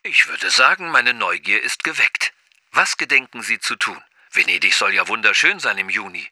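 A man voicing a calm, composed male adventurer in an audio story, speaking in an unhurried, relaxed manner. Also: fairly low pitch, no echo. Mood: intrigued.

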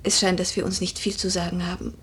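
A woman playing a wistful, proud noble widow in a German literary radio play, measured and poetic with hushed, breathy phrases. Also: fairly low pitch, little pitch movement, slight background noise, natural voice. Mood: melancholy, guarded.

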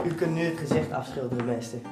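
An actor playing an eager, deferential young painter's apprentice in a historical radio drama, boyish and respectful. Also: male voice, mid-range voice, close to an everyday voice. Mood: eager, apologetic. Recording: echoey room with plucked-string music.

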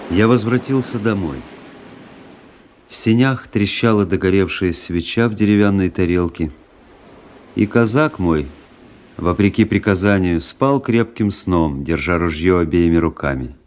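An actor playing a wry, melancholy army officer who narrates his story in a measured, reflective radio-play style. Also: low voice, a little mellow. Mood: rueful, wry. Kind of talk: dramatic literary radio narration.

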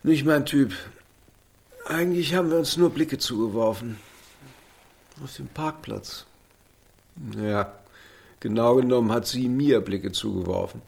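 A man's voice: mid-range voice, unhurried, gravelly, pauses often, darkish tone, breathy edge. An actor playing a lonely, tipsy middle-aged father in a radio drama, wry and bitter, with a rambling, self-mocking way of talking. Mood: self-pitying, wry. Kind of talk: naturalistic radio drama acting.

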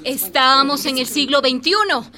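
A fiery village herb seller in a radio drama. Her high voice is impassioned and oratorical, rallying neighbours amid clear crowd noise. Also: unhurried. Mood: indignant. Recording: crowd murmur behind the voice.

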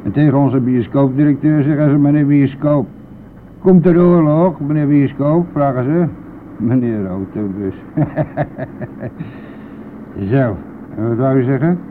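An actor playing a gruff, impatient, talkative country bus driver in a radio play, brusque and easily exasperated. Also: male voice, medium pitch, a little slow, long pauses, close to an everyday voice. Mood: gruff, boastful. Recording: noisy, thin, phone-like old radio recording.

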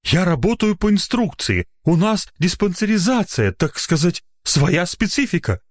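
A man's voice: sits high, very animated, moderate pace, no room sound, studio quality, pitched above the performer's own voice. A man voicing an indignant, blustering, officious doctor in a narrated story, easily flustered and outraged. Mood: flustered, self-justifying. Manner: officious, blustering, self-justifying.

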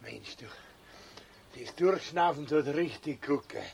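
A man's voice: on the high side, measured pace, gravelly, slight background noise. An actor playing an easygoing, careless man in a children's radio play. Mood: nonchalant. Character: easygoing, careless male day-tripper.

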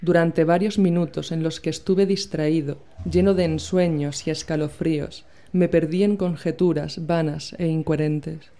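A woman narrating a dark classic horror story in a measured, grave, deliberate reading. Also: sits low, fairly even intonation. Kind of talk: scripted dramatic story reading.

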